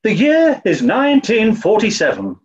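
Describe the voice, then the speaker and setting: A man's voice: high voice, huge pitch swings, normal speed, highs cut off, faint music behind. A man playing a dim-witted, cheerful detective in a 1940s-style comedy radio play, theatrical and plummy. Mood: announcing.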